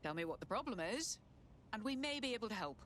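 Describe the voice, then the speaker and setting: An actress playing a bold, sceptical resistance hacker in a video game, speaking in a blunt, wry way. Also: female voice, on the high side, very animated, fast, a bit bright, a little hiss or hum. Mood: reassuring. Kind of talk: dramatic video game acting.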